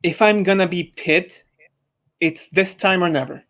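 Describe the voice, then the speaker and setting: Higher-pitched young man chatting on a podcast. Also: a little slow, a bit bright. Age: young adult. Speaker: podcast panel member, amateur fan dub voice actor. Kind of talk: casual group podcast chat.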